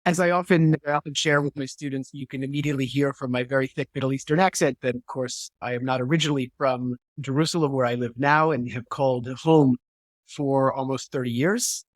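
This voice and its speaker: A man's voice: mid-range voice, fairly even intonation, brisk, no echo. A man, a guest historian giving a thoughtful interview answer over a video call, repeating words as he searches for phrasing. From New Hampshire, USA.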